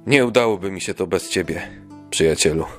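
A man's voice: low voice, fairly quick, faint music behind, natural voice. A man playing a brave, kind-hearted king and father in a children's audio play. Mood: warm, amused.

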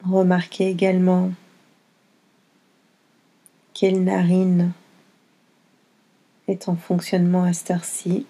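A woman guiding a yoga nidra meditation in a gentle, unhurried manner, giving soothing instructions in short phrases with long pauses. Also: fairly low pitch, completely flat delivery.

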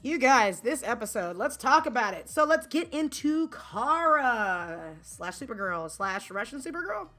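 A woman's voice: fairly high pitch, sing-song, unhurried, hardly any pauses, fairly bright tone, faint music behind. A woman co-hosting a casual podcast, playful and bubbly, often tossing in sound effects and little sung bits. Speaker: podcast co-host.

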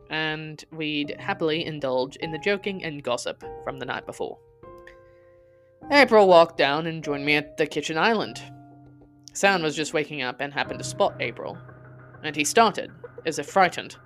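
Low-voiced woman narrating a story over music. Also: a bit bright. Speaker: podcast host and narrator. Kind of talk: scripted first-person story narration.

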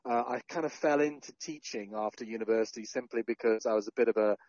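A man in his forties chats as an interview guest, easygoing and quick to poke fun at himself. His voice sits at an average pitch and is recorded dry and close, with a slightly dulled, muffled sound. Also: dry recording. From Sri Lanka and North Wales. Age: 40s. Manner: relaxed, self-deprecating, joking asides. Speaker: podcast guest, journalist and founder. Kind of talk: casual interview conversation.

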